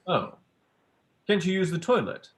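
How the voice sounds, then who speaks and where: A man's voice: high voice, very animated, measured pace. A young man acting a polite, cagey male visitor with a hesitant manner in a staged play reading. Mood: cagey, awkward. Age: young adult.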